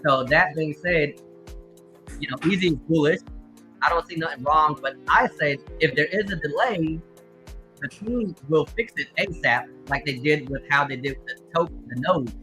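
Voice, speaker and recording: A man hosting a casual livestream chat about crypto, explaining at length in a relaxed way. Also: a little high, soft background music, low-quality recording. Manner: laid-back, drawn-out explanations. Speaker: livestream host.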